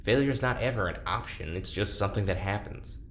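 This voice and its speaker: A resigned, anxious man held as a test subject in an audio drama, played by a male actor. His lowish voice is casual and wry. It sounds roomy, with faint ambience behind him. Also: fairly quick, a bit bright, a little hiss or hum, lightly acted. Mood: worried, weary.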